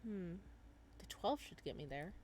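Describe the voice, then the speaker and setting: A woman voicing a determined, guarded young man in a visual novel, speaking in a curt, low-key way. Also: animated, a little slow, a little mellow. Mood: guarded, terse.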